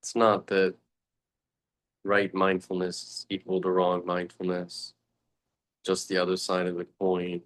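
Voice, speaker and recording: Male meditation teacher, low-pitched and monotone, contemplative delivery with long pauses. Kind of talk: guided meditation talk.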